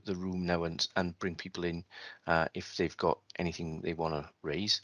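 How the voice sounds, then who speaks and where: A man's voice: deep voice, a bit bright, no room sound. A man chairing an online meeting over a video call, talking at length with many restarts and fillers.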